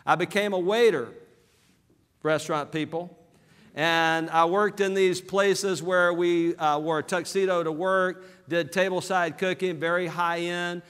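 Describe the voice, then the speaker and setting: A man from southern Alabama with a Southern American accent giving an energetic motivational business seminar, calling out to his audience for responses. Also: high voice, measured pace, smooth. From southern Alabama, USA. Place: large hall with live audience. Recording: reverberant hall.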